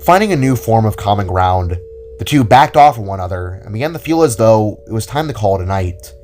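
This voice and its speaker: A man's voice: on the low side, lively intonation, a little fast, darkish tone, slight background noise. A man reading scripted story narration for an audio drama. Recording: music under the voice. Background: music.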